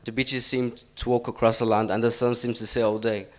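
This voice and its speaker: A man's voice: medium pitch, level tone, fairly quick. A teenage boy reading his own poem aloud, hesitant and stumbling at times. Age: teens.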